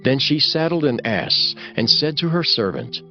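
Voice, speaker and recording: A man's voice: a little high, expressive, normal speed, highs cut off, faint music behind. A man narrating a scripture audiobook in a formal, solemn storytelling manner.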